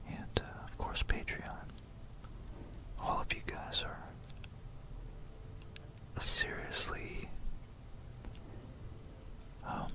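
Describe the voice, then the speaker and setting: An ASMR host speaking in a breathy, unvoiced whisper, with a gentle, rambling, hesitant bedtime delivery in an informal style. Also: poor audio. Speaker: ASMR video creator and host.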